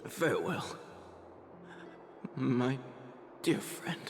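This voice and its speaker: A man's voice: mid-range voice, very animated, extremely slow, slight background noise. A man playing a proud, dying warrior friend in a game, speaking a weak and noble farewell in an echoing space. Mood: tender, resigned. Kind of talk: dramatic game voice acting. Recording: echoey hall reverb under music.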